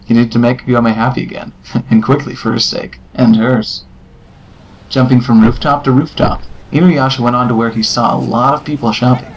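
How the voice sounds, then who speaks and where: A man's voice: mid-range voice, little pitch movement, moderate pace, muffled recording, slight background noise. A man reading scripted story narration for an amateur audio drama.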